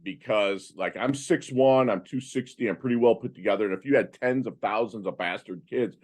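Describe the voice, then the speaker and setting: A man in his fifties, a podcast guest, telling his life story in a casual, animated way with many fillers and restarts. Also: fairly low pitch, brisk. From Philippines. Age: 50s. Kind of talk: casual interview talk.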